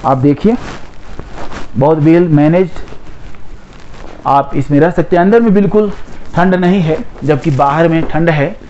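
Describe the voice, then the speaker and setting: A middle-aged man with a high voice guides viewers on a trip, talking in a plain, explanatory way. The sound is dull and lo-fi, recorded outdoors on a handheld camera. Loud river and open-air noise runs under his voice. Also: measured pace, pauses often. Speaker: travel vlogger. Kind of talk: casual travel narration to camera. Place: outdoors by a mountain river and tent camp.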